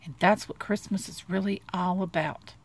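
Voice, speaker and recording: A woman's voice: on the low side, steady tone, moderate pace, lightly acted. A woman voicing a kindly, wise father Dalmatian in a storybook, explaining things patiently. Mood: gently instructive.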